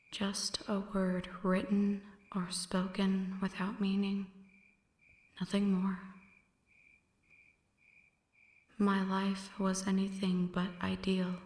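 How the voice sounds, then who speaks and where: A woman playing a flirty, brooding female vampire in an ASMR roleplay, speaking in hushed, breathy whispers with a teasing, poetic delivery. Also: mid-range voice. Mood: sorrowful, brooding. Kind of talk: ASMR roleplay, scripted dramatic monologue.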